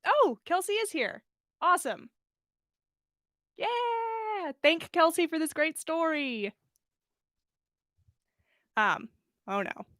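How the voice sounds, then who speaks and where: A woman's voice: very high-pitched, expressive, slow pace, long pauses, fairly bright tone, dry recording, studio quality. A woman hosting a livestream, chatting casually and reading fan fiction aloud in a bubbly, self-deprecating way. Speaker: podcast host and story reader.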